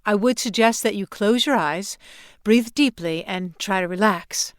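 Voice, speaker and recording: A woman's voice: medium pitch, a little slow, no room sound, studio quality, lightly acted. A woman acting in an audio play as a composed, mysterious recruiter who speaks formally and precisely. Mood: calm, precise. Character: composed, formal, mysterious woman running secret time-travel missions.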